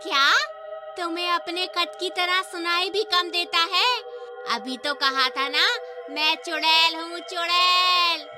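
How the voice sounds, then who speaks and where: A young witch girl from a cartoon horror comedy, piercingly high in pitch. She draws her words out slowly and teasingly, with theatrical menace, over prominent music. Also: fairly even intonation. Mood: offended, menacing. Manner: slow drawn-out taunting, theatrical. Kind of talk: animated Hindi horror-comedy story acting. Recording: music behind the voice.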